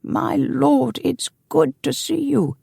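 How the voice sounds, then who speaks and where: A woman voicing a high-pitched, deferential old manservant. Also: slow, vocal fry, warm tone, dry recording, studio quality, full character voice. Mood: glad, respectful. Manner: deferential, flustered. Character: devoted, chatty old male manservant.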